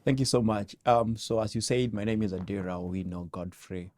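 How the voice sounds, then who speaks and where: Male pastor from Kenya, warm and dark tone, unhurried, dry sound. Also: fairly low pitch, flat delivery, dry recording. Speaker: pastor and theologian. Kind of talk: panel self-introduction.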